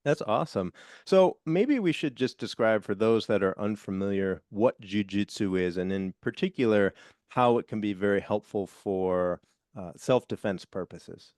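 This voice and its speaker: A man's voice: fairly low pitch, normal speed, a little mellow, no room sound. A middle-aged man hosting a podcast interview in a friendly way, with the odd "uh" and "um". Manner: friendly, with fillers like "uh" and "um". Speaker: podcast host. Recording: dry close mic, faint music.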